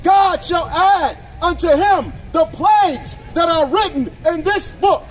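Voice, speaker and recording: A man loudly declaiming scripture outdoors, shouting it out in drawn-out phrases as a reader for street preachers. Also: very high voice, a faint crowd, rough recording. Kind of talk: loud, declamatory scripture reading. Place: outdoor waterfront brick plaza.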